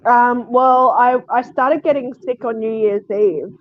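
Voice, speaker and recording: A woman streamer with a croaky, sniffly voice from being sick, chatting casually and laughing often. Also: on the high side, steady tone, measured pace, background noise. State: croaky voice from a cold. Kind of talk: casual live stream chat. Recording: dull lo-fi sound.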